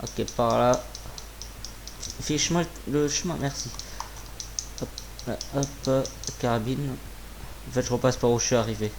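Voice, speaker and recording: A man with a mid-pitched, slightly hoarse voice commentates casually over his own gaming, talking to himself in loose, slangy speech with swearing. Clear background noise and keyboard clicking sit behind his voice. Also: steady tone, unhurried, pauses often. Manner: informal, muttering to himself, swearing. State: sore throat with a cough. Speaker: gaming video creator. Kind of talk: casual live gameplay commentary.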